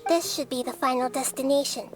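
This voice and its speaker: A woman voicing a gentle, calm young-looking goddess of wisdom in a video game, explaining softly and patiently. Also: high voice, fairly quick, natural voice. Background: game music.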